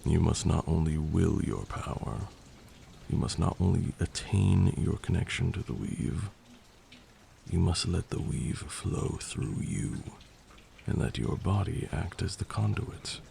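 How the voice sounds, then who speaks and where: A man playing a patient, stern vampire lord who teaches magic in a measured, formal ASMR roleplay. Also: low voice, lively intonation, creaky, dark tone, slight background noise, full character voice. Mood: solemn, instructive.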